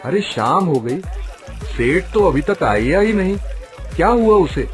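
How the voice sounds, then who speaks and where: A man's voice: sits high, very animated, unhurried, faint music behind. A man playing a greedy, jealous and scheming village street-food seller in a cartoon story. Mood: anxious, impatient. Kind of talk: Hindi cartoon story voice acting.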